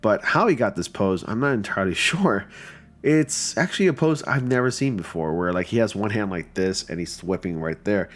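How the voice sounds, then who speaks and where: A man's voice: on the low side, animated, normal speed. A man with a stuffy, just-off-a-cold voice, casually and enthusiastically commenting on fan photo submissions with lots of fillers. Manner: chatty and enthusiastic, with many fillers.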